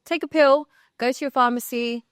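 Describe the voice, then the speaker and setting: A woman's voice: sits high, steady tone, normal speed, smooth. A bubbly young woman hosts the podcast and keeps her guest talking in easy, friendly chat. Her voice is dry and close, with no room echo around it. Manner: bubbly, chatty interviewer. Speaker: podcast host.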